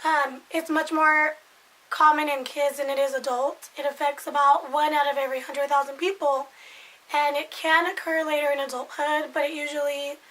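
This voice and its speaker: Woman, 20s, hoarse raspy voice pitched high, loose rambling vlog delivery. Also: a bit bright, low-quality recording. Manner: rambling, loses her thread and repeats herself. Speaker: vlogger. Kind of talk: casual chat to camera.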